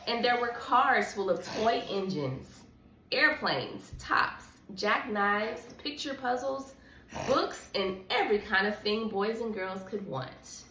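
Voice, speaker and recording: Woman, children's read-along host. Animated, encouraging storybook delivery. Roomy sound with faint noise. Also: mid-range voice, slow pace, recorded from a distance. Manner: encouraging, coaxing. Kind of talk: expressive storybook reading with chat to a child. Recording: echoey room with background noise.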